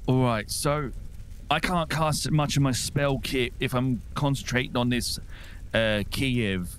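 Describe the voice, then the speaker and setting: A man's voice: medium pitch, unhurried, slight background noise. A man playing a tabletop game and talking casually about his turn.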